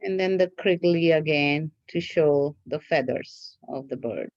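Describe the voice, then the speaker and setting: A woman's voice: low voice, unhurried, a little mellow, dry recording, muffled recording. A woman teacher giving step-by-step craft instructions to children in a patient, repetitive way, often checking that they follow.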